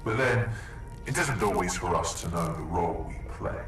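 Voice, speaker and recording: An actor playing a menacing masked hacker villain in a game, speaking gravely and theatrically. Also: male voice, deep voice, lively intonation, normal speed, noisy background. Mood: cold menace. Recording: echoing distant voice under loud music.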